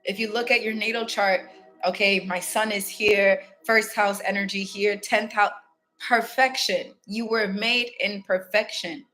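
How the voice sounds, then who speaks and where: A woman's voice: mid-range voice, fairly even intonation, unhurried, bright, forceful tone, faint music behind, low-quality recording. A woman from Ghana hosting a casual motivational self-help talk, candid and reflective, chatting directly to her viewers. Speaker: spiritual self-help host.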